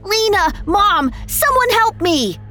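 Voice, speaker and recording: A woman voicing a naughty, high-strung little boy in a whiny, protesting storybook manner. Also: huge pitch swings, a little slow, a bit bright, faint music behind, heavily acted. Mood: panicked.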